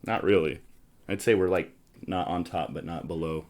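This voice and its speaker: A man is hosting a relaxed, conversational show. He keeps a casual tone and banters in a teasing, dryly dismissive manner. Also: low voice, moderate pace. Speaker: host of a board game show.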